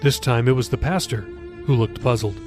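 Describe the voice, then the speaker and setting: A man's voice: mid-range voice, normal speed, music in the background. A man reading his own novel aloud as scripted audiobook narration.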